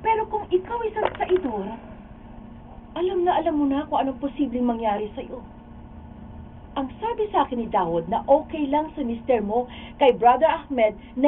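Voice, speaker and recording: A woman's voice: sits high, recorded from a distance. A woman playing an indignant, principled wife in a Filipino radio drama, emphatic and scolding, with a Filipino accent. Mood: accusing, stern. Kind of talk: amateur radio drama acting. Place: radio recording studio. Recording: muffled phone-like audio with background noise.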